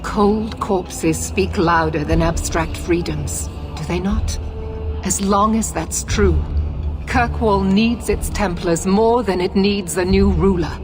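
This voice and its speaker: A woman's voice: mid-range voice, takes it slowly, music in the background, lightly acted. An actress playing a stern, unyielding female knight commander in a fantasy game, imperious and formal. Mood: scornful, cold. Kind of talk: theatrical fantasy game acting.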